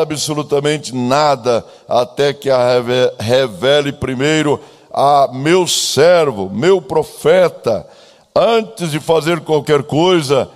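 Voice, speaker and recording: Brazilian guy pastor preaching, slow n solemn, big church hall echo. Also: mid-range voice. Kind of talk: church sermon with a read-out letter. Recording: long echoing hall reverb.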